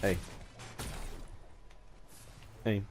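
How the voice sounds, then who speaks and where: A man giving unscripted, rambling commentary as a gaming video host, halting and often restarting his sentences mid-word. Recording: home recording with background noise.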